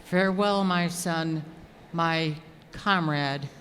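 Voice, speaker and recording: Man playing a slow, solemn, devout father. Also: a little high, fairly bright tone. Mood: reverent. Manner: solemn, reverent, melodramatic. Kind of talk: old-time radio melodrama acting. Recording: echoey hall, faint noise.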